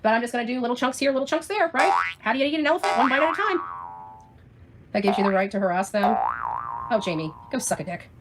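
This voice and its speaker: A woman's voice: a little high, animated, fast talker, loud music behind the voice. A woman ranting on a livestream in a combative, sarcastic, foul-mouthed way. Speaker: livestream host.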